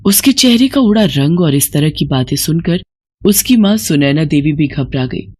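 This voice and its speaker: A woman's voice: sits low, expressive, moderate pace, a little mellow, slightly rough. A woman reading a scripted horror story as a dramatic narrator.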